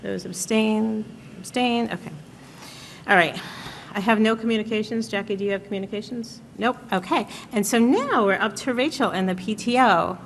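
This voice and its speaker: Woman running the board meeting, quick procedural calls for motions, echoey room and faint hiss. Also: medium pitch, vocal fry. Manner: brisk, procedural. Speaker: board chair. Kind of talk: chairing a meeting.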